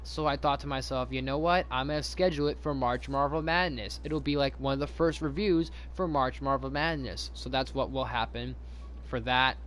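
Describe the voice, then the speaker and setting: A man's voice: medium pitch, steady tone, normal speed, fairly bright tone, a little hiss or hum. A man hosting a casual live stream, chatting to viewers in a rambling way, repeating himself and restarting his sentences.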